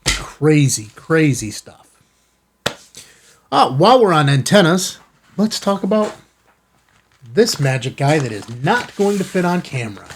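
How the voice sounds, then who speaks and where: A man's voice: on the high side, slight background noise. A man hosting a casual unboxing video, talking to viewers in an enthusiastic, folksy way with self-kidding asides. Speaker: host of a ham radio channel. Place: studio.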